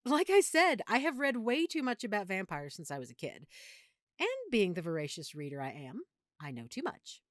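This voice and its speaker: Woman acting as nerdy affectionate girlfriend in audio rp, super animated pitch, dry close sound, playful and a bit fussy. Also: moderate pace, no room sound, lightly acted. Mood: animated, opinionated. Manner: playful, fussy, expressive, explanatory. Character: affectionate, nerdy, opinionated girlfriend, vampire lore buff. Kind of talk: audio roleplay, intimate one-sided conversation.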